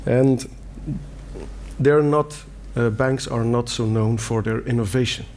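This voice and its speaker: A man's voice: medium pitch, steady tone, slow, a little mellow, slight background noise. A middle-aged man from Belgium, a native Dutch speaker with a Belgian Dutch accent, giving an earnest conference talk in plain words with fillers and restarts. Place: conference hall.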